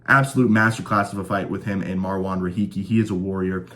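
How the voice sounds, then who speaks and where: Young dude talking fight picks, kinda stuffy nose, lowish voice, chill and jokey. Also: male voice, fairly even intonation, fast, pushed and bright. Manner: laid-back, joking, rambling with fillers. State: slightly stuffed up from a cold. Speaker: fight prediction host. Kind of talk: casual sports prediction chat.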